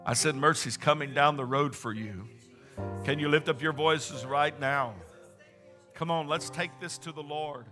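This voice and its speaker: A male pastor preaching with fervent, rising exclamations and a strained voice. His voice sits high for a man and rings very bright and edgy. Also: measured pace, faint music behind. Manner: preaching with rising fervor, exclamations and call-and-response. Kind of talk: sermon.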